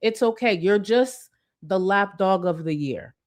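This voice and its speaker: A woman's voice: mid-range voice, expressive, unhurried. A woman hosting a live commentary stream, reading chat and mocking her target with heavy sarcasm and swearing.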